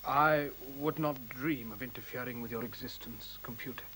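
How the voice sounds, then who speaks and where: An actor playing a thoughtful, questioning scientist in a science fiction radio play, a man with a deliberate, formal, courteous way of speaking. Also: medium pitch, bright, forceful tone, close to an everyday voice, rough recording. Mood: deferential. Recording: faint hiss, 1970s radio recording.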